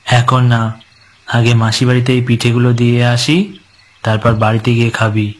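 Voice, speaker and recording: A man's voice: medium pitch, flat delivery, a little fast, lightly acted. A man voicing a protective young older brother in a horror cartoon. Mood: obliging. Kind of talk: Bengali horror cartoon dub.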